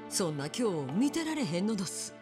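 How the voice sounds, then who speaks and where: A woman's voice: medium pitch, sing-song, music in the background, close to an everyday voice. A woman acting a genteel, steely Kyoto innkeeper. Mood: earnest pleading. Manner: genteel, polite, deliberate. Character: poised, determined Kyoto innkeeper woman, polite but steely. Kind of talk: period drama game cutscene acting.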